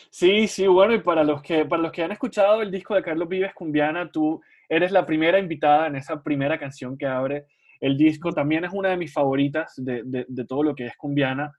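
A man's voice: a little high, steady tone, fairly quick, highs cut off. A man hosting a music podcast, with an upbeat, welcoming presenter's manner. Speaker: podcast host. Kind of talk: podcast interview.

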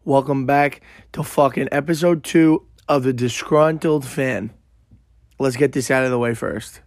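A young New man talks straight into the mic with a gravelly, hoarse voice that sounds worn out. He tells his story brashly and swears freely, keeping the telling animated. The sound is close and dry. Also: medium pitch, unhurried, no echo. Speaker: podcast host. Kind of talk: casual profane storytelling monologue.

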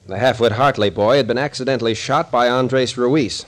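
Brusque mid-pitched actor as a take-charge captain. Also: male voice, moderate pace, close to an everyday voice. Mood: grim, stumped. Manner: clipped, commanding, no-nonsense. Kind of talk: 1940s radio acting.

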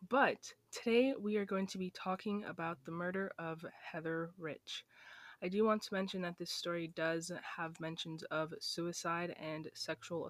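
A young woman hosting a true crime podcast, talking fast in a flat, monotone way. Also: fairly low pitch, no room sound. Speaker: podcast host.

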